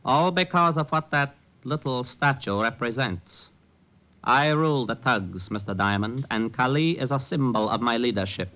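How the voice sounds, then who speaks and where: An actor playing a cold, courteous assassin cult leader in an old-time radio drama, speaking in formal, measured, menacing sentences. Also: male voice, mid-range voice, lo-fi sound, lightly acted. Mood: proud, menacing.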